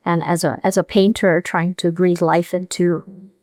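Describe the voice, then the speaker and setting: Woman, painter, Korean native speaker, slow, hesitant, somewhat low voice. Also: level tone, a little mellow, no room sound, clean studio sound. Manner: thoughtful, many fillers and pauses. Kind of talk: reflective interview answers.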